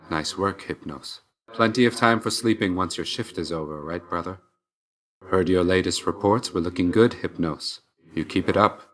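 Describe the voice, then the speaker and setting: A man voicing a stern, reserved and dutiful god of death in a video game, speaking in a formal, measured way. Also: sits low, fairly bright tone. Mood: quietly approving. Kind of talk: video game character voice lines.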